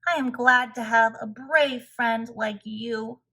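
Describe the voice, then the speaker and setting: Woman as a timid, high-voiced storybook toad. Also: fairly even intonation, slow, sharp tone, full character voice. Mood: earnest. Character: timid male toad putting on bravery.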